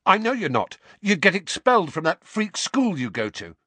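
A surly teenage bully, acted by a man reading a novel, grunts and snaps back defensively. The pitch leaps around as he gets riled. The recording is tight and dry. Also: sing-song, moderate pace, sharp tone, no room sound, full character voice. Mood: hostile, defensive.

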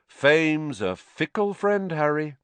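A pompous celebrity wizard from a storybook, gushing and self-satisfied. The pitch leaps high and swoops about theatrically, in a close, dry recording. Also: male voice, big pitch swings, slow, fairly bright tone, smooth, no room sound, exaggerated voice. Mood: smug, jovial. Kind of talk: audiobook storybook character acting.

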